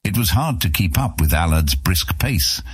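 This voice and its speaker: A man's voice: low-pitched, animated, normal speed, husky, no room sound. A man narrating scripted fantasy fiction as an audiobook reader.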